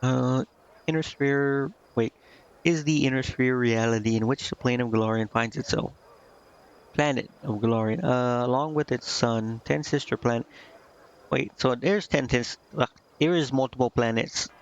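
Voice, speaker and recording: A man casually commentating a game live and reading its text aloud, thinking out loud in a rambling way and stumbling over unfamiliar words. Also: mid-range voice, moderate pace, smooth, highs cut off. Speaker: game streamer.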